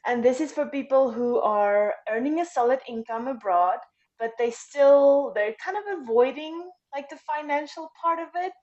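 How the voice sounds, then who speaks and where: A woman's voice: high-pitched, normal speed, darkish tone. A woman from South Africa with a South African accent, introducing herself upbeat as an event speaker on a video call. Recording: dull lo-fi call audio.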